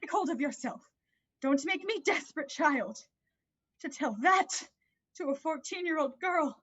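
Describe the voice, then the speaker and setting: A very high, swooping voice of an anguished mother in a period play acted by a woman. She implores and evades, repeating herself with feeling. The audio is slightly dull and lo-fi. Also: animated, a little slow, a bit bright. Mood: pleading. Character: anguished, evasive, pleading mother of a teenage girl. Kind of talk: dramatic reading of a 19th-century play.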